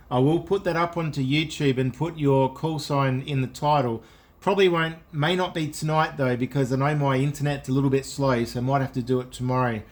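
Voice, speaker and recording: A man, an amateur radio operator, making casual on-air contact in a friendly, enthusiastic way. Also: medium pitch.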